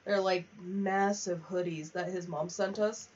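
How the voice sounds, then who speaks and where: A woman's voice: on the low side, steady tone, measured pace, darkish tone. A young woman chatting casually on a gaming livestream, rambling from one aside to the next. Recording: distant, slightly muffled mic.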